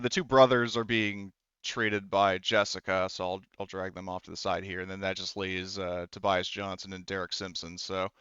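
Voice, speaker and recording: Smooth low-voiced man running a tabletop game. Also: fairly bright tone, no room sound, dull audio. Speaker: game master. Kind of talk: improvised tabletop game narration.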